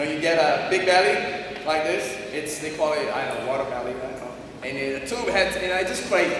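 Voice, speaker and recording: A man from Brazil, a native Portuguese speaker with a Brazilian accent, tells his life story to an audience in animated, non-native English full of fillers. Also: a little high. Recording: echoey hall from far off, faint noise.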